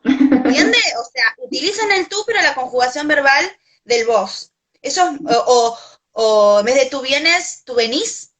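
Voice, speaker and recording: A woman's voice: high voice, expressive, unhurried, fairly bright tone, poor audio. A woman from Argentina with an Argentine Spanish accent, a Spanish teacher talking casually and thinking aloud with many fillers. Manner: thoughtful, many fillers and restarts. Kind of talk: casual conversation.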